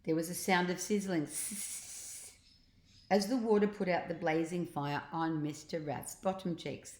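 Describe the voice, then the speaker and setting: An older woman narrates a children's storybook for grandchildren. Her voice sits a little low, and she leans on words with drawn-out, expressive emphasis. The recording is noticeably roomy. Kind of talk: storybook narration read aloud.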